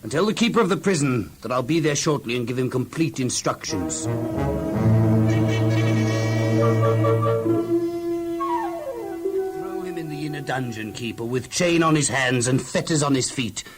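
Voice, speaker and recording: A man's voice: a little high, wildly swooping pitch, normal speed, loud music behind the voice. A man playing an outraged, commanding captain and wronged husband in a children's audio Bible drama, accusing and authoritative. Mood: stern.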